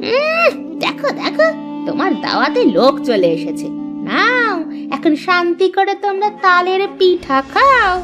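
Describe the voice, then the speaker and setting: A woman's voice: very high voice, sing-song, measured pace, music in the background, heavily acted. A woman playing a jealous, stingy young village wife in a fairy-tale cartoon, indignant, sarcastic and sulky. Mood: sulky scorn.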